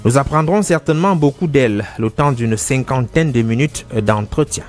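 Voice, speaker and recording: A man hosting a literary interview, asking questions in a measured, formal way with occasional fillers. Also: mid-range voice, lively intonation, over loud music. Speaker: host.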